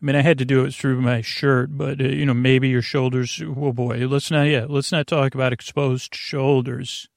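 A man hosting a bedtime podcast with a creaky, gravelly voice, meandering and halting in a goofy, self-deprecating monologue that keeps going off topic. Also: mid-range voice, little pitch movement, no echo, studio quality. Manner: meandering, halting, goes off topic mid-sentence. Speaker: podcast host.

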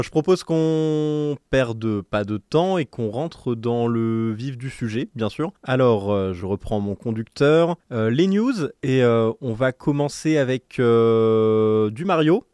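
A man's voice: mid-range voice, lively intonation, a little slow, few pauses, darkish tone, no room sound, clean studio sound. A man hosting a podcast casually, with a hesitant, stop-and-start delivery. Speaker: podcast host.

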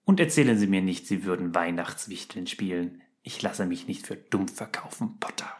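A man voicing a stern, sneering male professor in a fan audio story, speaking slowly in a hushed, cold way. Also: medium pitch, animated, close to an everyday voice.

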